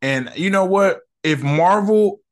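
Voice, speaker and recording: A man hosting a solo podcast, reviewing an episode in a relaxed, chatty way with slang and lots of fillers. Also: high-pitched, takes it slowly.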